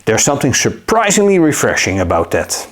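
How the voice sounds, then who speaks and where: A man narrating a scripted gadget review in a measured, upbeat way. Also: mid-range voice, wildly swooping pitch. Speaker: tech reviewer.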